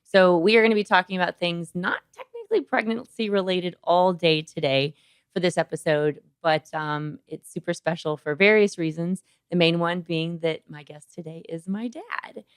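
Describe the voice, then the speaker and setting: Low-voiced woman hosting a chatty podcast. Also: normal speed, smooth, dry recording, clean studio sound. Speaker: podcast host, OB-GYN doctor. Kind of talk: conversational podcast interviewing.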